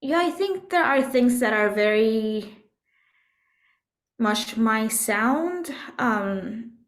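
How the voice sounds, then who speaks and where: A woman from Puerto Rico speaking as an interview guest, reflective and thoughtful, often pausing to search for words. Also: fairly high pitch, lively intonation. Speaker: interview guest, composer and musician. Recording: echoey room sound.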